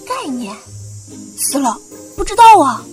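High-voiced, bashful student girl over music. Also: very animated, measured pace. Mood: panicked. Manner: hesitant and polite, given to dramatic complaining. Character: young female university student, bashful yet excitable. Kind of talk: Mandarin audio drama acting. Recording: music bed under the voice.

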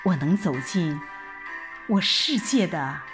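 Female audio drama narrator, reflective and unhurried, highly melodic phrasing, soft music bed. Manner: expressive, reflective recitation with deliberate pauses. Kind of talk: dramatic scripted first-person narration. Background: background music.

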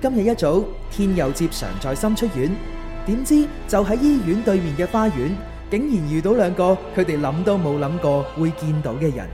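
Hong kong cantonese narrator doing a dramatic recap, super lively pitch, music going behind. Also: moderate pace.

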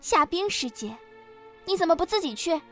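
A very high, girlish voice with light music behind. a young junior assassin in a costume audio drama, sweet and pouting, protective of her elder sister. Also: very animated, moderate pace, fairly bright tone, exaggerated voice. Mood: protesting.

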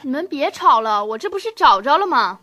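A woman's voice: high voice, big pitch swings, a little fast, pushed and bright, no room sound, clean studio sound, full character voice. A woman playing an anxious young shop clerk and peacemaker in a radio drama, urgent and pleading. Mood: anxious, exasperated.

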